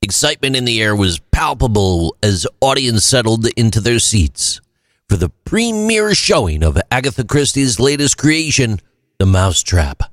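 A man hosting a short story program, reading scripted narration in a measured, dramatic storytelling manner. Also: medium pitch, very animated, no echo.

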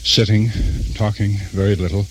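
A man reporting live as a radio correspondent, halting and pausing between short phrases, on an old news feed. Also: on the low side, little pitch movement, slight background noise, rough recording.

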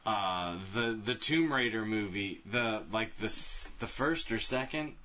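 A man co-hosting a casual podcast chat, picking through details in a halting, careful way. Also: fairly low pitch, lively intonation, bright, forceful tone. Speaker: podcast co-host. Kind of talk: casual chat about video game movies.

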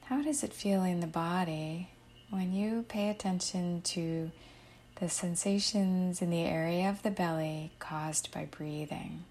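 A woman guides a meditation at a very slow, unhurried pace. Her voice sits slightly low and she gives gentle, soothing instructions with soft endings to her phrases. A faint noise runs behind the recording.

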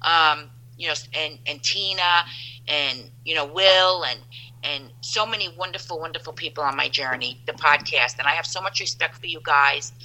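A woman in her fifties chats as a podcast guest with a very bright, forward tone. She rambles cheerfully and keeps restarting her sentences, and her voice sits a touch low. The sound comes through like a phone line. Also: normal speed. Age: 50s. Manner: rambling, upbeat, many restarts. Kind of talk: casual chat.

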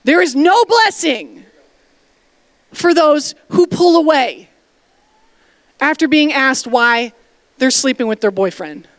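A woman's voice: high voice, animated, slow pace, pauses often, dry recording. A woman pastor preaching a sermon, emphatic and direct, calling out to the congregation for responses and dropping casual asides. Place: church sanctuary.

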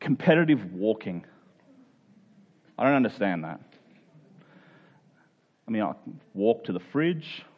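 A man's voice: on the low side, very animated, measured pace, pauses often, lo-fi sound. A man preaching a conversational Bible sermon in an earnest way, posing rhetorical questions and voicing imagined objectors. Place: speaking live to a congregation.

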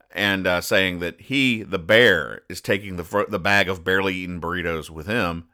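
A man's voice: sits low, pushed and bright, smooth. A man hosting a podcast, explaining comic strips in a conversational, mock-serious, pedantic way with frequent digressions. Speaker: podcast host.

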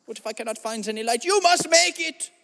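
A man voicing an eccentric self-styled spiritual guru with a put-on German accent, in a drawn-out, lofty, preachy comedy monologue. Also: extremely high pitch, animated, vocal fry, a bit bright, big-room sound, full character voice. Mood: grandiose rambling. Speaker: comedy podcast host. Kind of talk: improvised comedy monologue in character.

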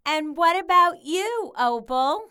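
A woman's voice: very high voice, animated, slow, pushed and bright, heavily acted. A woman playing a prim, gracious book club hostess in a comedic audio drama, polite and proper. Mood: patient, projecting.

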